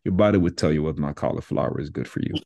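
A man's voice: a bass voice, very fast, gravelly, mellow. A man from the Democratic Republic of Congo, a podcast guest, talking earnestly and rambling through rhetorical questions. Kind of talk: casual interview chat.

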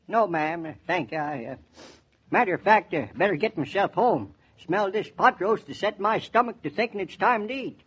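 Husky, high-voiced old New England Yankee. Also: male voice, lively intonation, moderate pace, fairly bright tone, heavily acted. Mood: hesitant, polite. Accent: rural New England Yankee. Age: older. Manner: rustic, drawling hesitations and homespun phrases. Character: folksy, quirky old Yankee neighbor man, proud and polite. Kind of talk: 1940s radio drama acting. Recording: dull, band-limited 1940s radio recording.

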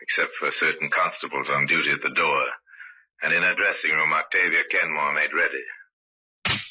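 A man's voice: extremely low pitch, fairly even intonation, normal speed, slightly rough. A man acting as the grave narrator of an old-time radio crime drama, telling it in terse, dramatic phrases. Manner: terse, dramatic storyteller delivery. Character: grave male storyteller of a murder case. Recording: dull old radio recording with music.

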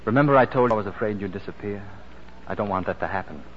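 Actor as Paul, insistent low-voiced radio suitor. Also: male voice, quick pace, natural voice. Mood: tender, earnest. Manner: earnest, insistent, dramatic. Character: Paul, smooth-talking, possessive romantic suitor. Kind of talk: 1940s radio drama acting. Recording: dull 1940s radio recording, music behind.

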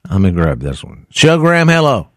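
A man co-hosting a morning radio show, relaxed, laughing easily and taking listener calls. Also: mid-range voice, a little fast, a little hiss or hum. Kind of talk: casual morning radio banter.

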